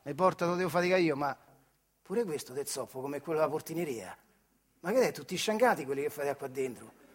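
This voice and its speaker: A working-class factory hand from a stage monologue, with a notably high male voice and a central Italian Marche accent. He tells his life colloquially, earthy and wry, in the manner of radio theatre storytelling. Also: animated, brisk. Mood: wry narrating. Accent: Marche, central Italy. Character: Adriano, working-class old factory man telling his childhood memories. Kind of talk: theatrical monologue storytelling in dialect-tinged speech.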